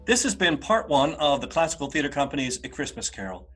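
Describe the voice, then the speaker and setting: A man narrating a radio play as a scripted, dramatic storyteller, with a slightly husky voice. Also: a little high, sing-song, fairly quick, a bit bright, faint music behind.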